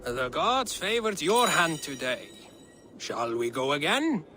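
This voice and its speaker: A man's voice: fairly high pitch, very animated, slow. An actor voicing a boastful, hearty male gambler challenging others to a game in a video game. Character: cocky male dice-game player. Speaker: game character. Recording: music score underneath.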